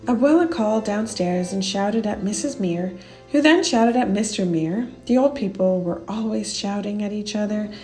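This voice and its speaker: A woman's voice: medium pitch, lively intonation, normal speed, darkish tone, low-quality recording. A woman reading scripted children's story narration aloud. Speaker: storybook reader. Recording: music behind the voice. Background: music.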